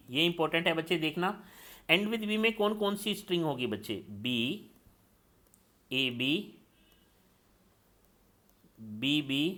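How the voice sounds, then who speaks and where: A man's voice: a little high, sharp tone. A male lecturer teaching a class step by step, asking questions and answering them himself. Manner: calls students "children" and checks they follow; steps through examples, asking and answering his own questions.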